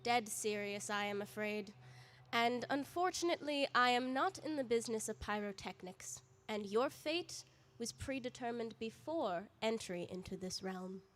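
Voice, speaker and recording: A woman playing Death in a radio drama as a formal, deadpan and theatrical reaper with dry wit. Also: a little high, normal speed. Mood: deadpan, ominous.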